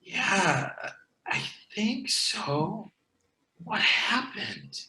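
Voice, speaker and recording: A man's voice: high voice, very animated, very slow, sharp tone, exaggerated voice. A man playing a wary, exasperated sidekick in a comedy script read. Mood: shaken. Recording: roomy, echoing sound.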